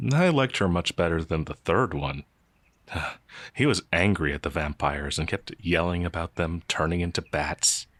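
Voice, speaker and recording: Man voicing a slow, halting, gentle old werewolf. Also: low-pitched, dry recording, pitched below the performer's own voice. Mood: rambling, reminiscing. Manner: halting, hesitant, bewildered stammering. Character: gentle, confused, ancient male werewolf, naive and polite. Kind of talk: ASMR roleplay audio drama.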